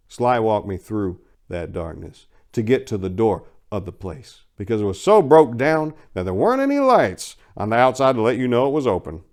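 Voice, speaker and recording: A man narrating a story as a dramatic reading, theatrical and folksy, swinging between hushed delivery and loud emphasis. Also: mid-range voice. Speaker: narrator and channel host.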